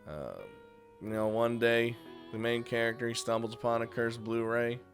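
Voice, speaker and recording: A man's voice: medium pitch, normal speed. A man giving a casual countdown review of games as host, talking informally and chuckling often between phrases. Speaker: host of a video game review series. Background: music.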